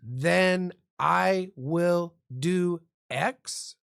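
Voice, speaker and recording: A man's voice: sits high, extremely slow, gravelly, fairly bright tone, clean tone. A man who works as a defense lawyer, explaining the law like a lecturer in profane street slang. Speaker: guest criminal defense lawyer.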